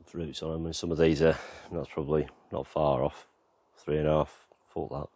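Very deep-voiced man touring his garden. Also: normal speed. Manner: relaxed, rambling, lots of fillers. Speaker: gardening vlogger. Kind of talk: casual walk-around garden tour. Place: outdoors in an allotment and back garden.

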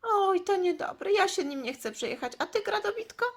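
A woman's voice: very high-pitched, expressive, normal speed, voice pushed up. A woman voicing an eager, childlike little girl creature in a toy-play story for children. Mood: curious. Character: excitable little girl Smurf, eager and apologetic.

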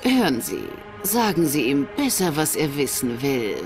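A woman's voice: low voice, big pitch swings, a little slow. A woman playing a coolly threatening accomplice in a video game. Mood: veiled threat. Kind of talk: German game dub acting. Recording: music under the voice.

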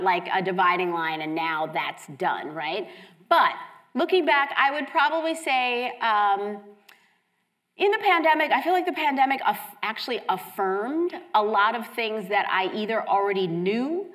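A woman's voice: medium pitch, a bit bright. A woman from Chicago, stuffy from a cold, speaking as a panelist on a theater stage in a casual, chatty way with many fillers. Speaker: county arts agency director, panelist.

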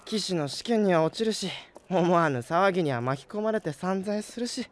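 An actor playing an earnest, hot-blooded young man who trains to be a knight in a Japanese audio drama, excitable in manner. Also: high voice, exaggerated voice.

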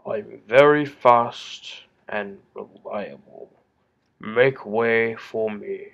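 A man voicing a big, proud blue steam engine for a cartoon, booming and boastful in a commanding way. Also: drawn-out words, creaky, full character voice. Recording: dull lo-fi home recording.

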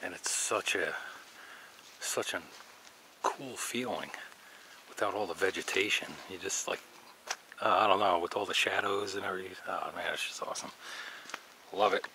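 Low-voiced man narrating a hike. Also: normal speed, long pauses, sharp tone, a little hiss or hum, poor audio. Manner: enthusiastic, musing aloud while walking. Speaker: hiking vlogger. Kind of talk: casual hiking narration. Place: outdoors on wooded mountain trails.

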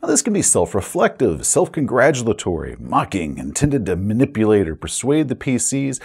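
Animated American man with a gravelly voice. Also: mid-range voice, normal speed. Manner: emphatic, explanatory. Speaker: host, game master. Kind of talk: scripted video essay to camera. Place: small home room with curtains and bookshelves.

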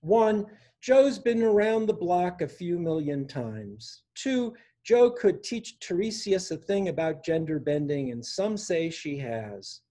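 A man in his sixties reading his own poems for an online open mic, with a measured, deliberate delivery. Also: high-pitched, animated, mellow. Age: 60s. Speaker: poet reading own work. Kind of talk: poetry reading at a virtual open mic, with informal introductions. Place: small room indoors at home.

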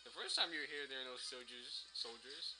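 A man's voice: mid-range voice, brisk, over music, low-quality recording. A young man bantering casually as a co-commentator, blunt and teasing, with some swearing. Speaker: friend and co-commentator.

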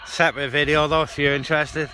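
A man's voice: a little high, level tone, fast talker, pushed and bright, a little hiss or hum. A man chatting casually in a laid-back, informal way as he hosts an outdoor vlog and talks on CB radio. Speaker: host, CB radio operator. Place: outdoors on open moorland by car boot.

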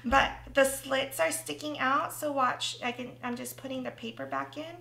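A woman's voice: high voice, fairly even intonation, moderate pace, vocal fry, sharp tone, echoey room, a little hiss or hum. A woman hosting a casual craft tutorial, thinking aloud as she works, and losing her voice. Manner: chatty, thinking aloud while working.

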